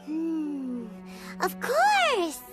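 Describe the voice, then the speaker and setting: Tiny squeaky childlike fairy sidekick. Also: female voice, wildly swooping pitch, very slow, music in the background, exaggerated voice. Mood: flustered. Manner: speaks of herself in third person, exclamatory. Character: tiny cheerful childlike floating fairy companion, earnest and chatty. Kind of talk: video game character acting.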